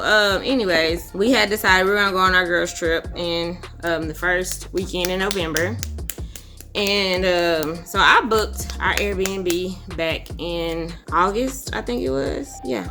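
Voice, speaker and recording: A young woman, hoarse and husky, chatting casually as a beauty vlogger while telling a story. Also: lowish voice. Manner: relaxed, chatty, says y'all and um often. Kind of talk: casual storytelling chit chat. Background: music.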